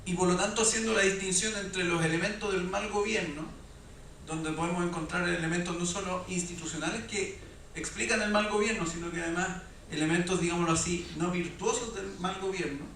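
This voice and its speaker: A man, speaking as a panelist in a measured, reflective way. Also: high-pitched, fairly even intonation, a bit bright. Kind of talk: panel discussion talk. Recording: echoey hall, distant mic, faint noise.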